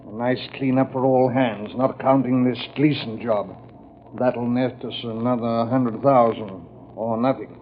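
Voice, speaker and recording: An actor playing a tough, ruthless criminal ship captain with slangy bluster, in 1940s radio style. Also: male voice, mid-range voice, steady tone, a little slow, close to an everyday voice. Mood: smug. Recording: tinny, noisy old radio recording.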